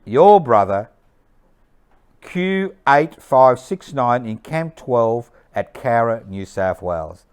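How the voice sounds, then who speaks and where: Man acting a clear-voiced, guarded interned letter writer. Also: mid-range voice, animated. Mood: guarded sorrow. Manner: measured, formal letter reading. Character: guarded, grieving interned man reading his letter home.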